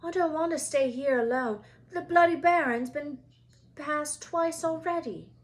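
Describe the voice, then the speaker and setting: A woman's voice: high voice, unhurried, pitched above the performer's own voice. A woman voicing a timid, forlorn schoolboy in a storybook, plaintive and pleading. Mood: scared pleading.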